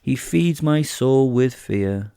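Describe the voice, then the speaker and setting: A man's voice: mid-range voice, animated, slow pace, creaky, mellow, clear, resonant tone, dry recording, clean studio sound, pitched above the performer's own voice. A man voicing a sadistic werewolf killer, narrating a horror audio drama in a theatrical, savouring, wordy way. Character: sadistic gloating male werewolf killer telling his hunt.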